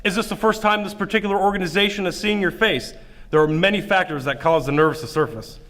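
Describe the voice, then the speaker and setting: A man's voice: high-pitched, quick pace, fairly bright tone. A man with a Midwestern American accent co-hosting a theater show, reading scripted lines with dramatic storytelling emphasis. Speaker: co-host, acting coach.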